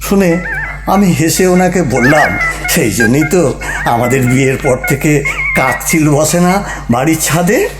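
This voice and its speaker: A mocking husband acted by a man in a comic radio-style play, pitched high for a man. He argues and teases with exaggerated theatrical flourish. The recording is echoey, with music playing underneath. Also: expressive, a little slow. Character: teasing, argumentative husband needling his wife. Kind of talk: Bengali comic audio play dialogue.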